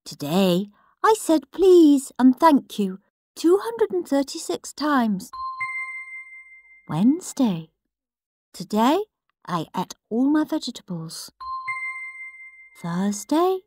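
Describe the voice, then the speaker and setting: A woman's voice: sits high, very animated, slow pace, pauses often, darkish tone, no room sound, clean studio sound, soft background music, full character voice. A woman voicing a smug, prim goody-goody little boy reading his diary in an earnest sing-song for a storybook.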